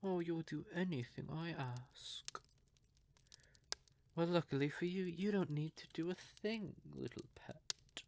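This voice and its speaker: Man playing a slow-talking, possessive male vampire. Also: on the high side, creaky, background noise. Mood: smugly commanding. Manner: theatrical, slow, condescending, deliberate. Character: betrayed possessive male vampire, menacing, coldly controlling lover. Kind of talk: scripted roleplay audio drama monologue.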